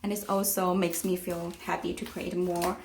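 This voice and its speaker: A middle-aged woman from China with a Chinese accent, giving a reflective, casual tour of her art studio with frequent pauses and fillers. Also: on the low side, flat delivery, background noise. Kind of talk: casual guided tour narration.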